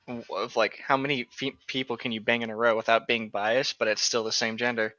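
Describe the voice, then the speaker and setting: A man's voice: mid-range voice, flat delivery, fairly quick, pushed and bright, no echo. A man co-hosting a casual read-along, bantering and sardonic as he mocks the text, on a slightly muffled recording. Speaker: co-host of a comedic book-reading show.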